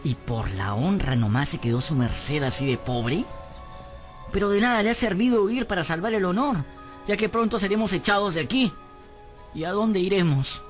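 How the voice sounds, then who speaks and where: Radio drama: male actor as humble young servant lad, lighter high male voice, plaintive, earnest, over music. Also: normal speed, natural voice. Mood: earnest, questioning. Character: humble, hapless young servant boy telling his own misfortunes. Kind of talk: radio drama acting and scripted narration.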